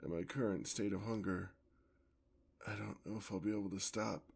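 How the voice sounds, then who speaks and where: A man playing a courtly, hungry vampire lover in an ASMR fantasy roleplay, with formal, theatrical speech and a gravelly voice. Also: lowish voice, darkish tone, exaggerated voice. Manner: theatrical, formal, drawn-out endearments. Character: courtly, hungry male vampire, tender yet predatory lover.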